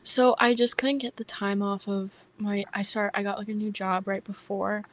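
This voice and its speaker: A young woman with a soft voice, hosting a casual podcast chat in a shy, hesitant way that often trails off. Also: mid-range voice, flat delivery, moderate pace. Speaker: podcast host.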